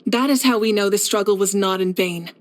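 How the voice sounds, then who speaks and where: A woman playing a confident, warm young warrior leader in a video game, speaking in a poised, measured, earnest way. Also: mid-range voice, lightly acted. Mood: solemn, reflective. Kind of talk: scripted video game character voice lines.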